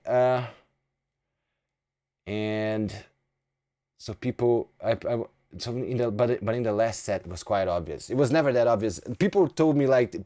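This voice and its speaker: A man's voice: fairly low pitch, mellow. A man in his thirties from Brazil with a Brazilian accent, chatting casually to viewers on a live stream and often repeating words as he restarts. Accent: Brazilian Portuguese. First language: Portuguese. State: sleepy.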